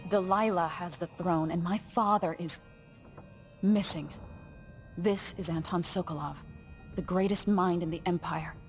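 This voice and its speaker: An actress playing a young exiled empress in a video game, grave, resolute and restrained, speaking in a measured way. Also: female voice, on the low side, steady tone, faint music behind, lightly acted. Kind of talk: video game narration and dialogue.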